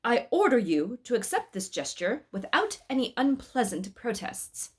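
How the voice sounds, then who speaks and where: A woman's voice: fairly high pitch, sing-song, normal speed, breathy edge, voice pushed up. A woman voicing a magnificent male Roman emperor in a storybook, pompous and commanding. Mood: imperious.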